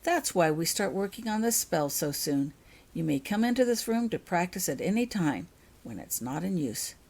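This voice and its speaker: A woman voicing a calm, dreamy witch professor in a storybook reading, serene and unhurried. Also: fairly low pitch.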